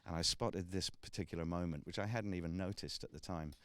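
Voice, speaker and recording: A man's voice: sits low, a little fast, fairly bright tone, no room sound. A sixty-year-old man, a big band director and trumpeter, chatting casually in an interview with many ums and restarts. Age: 60s. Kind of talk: casual radio interview chat.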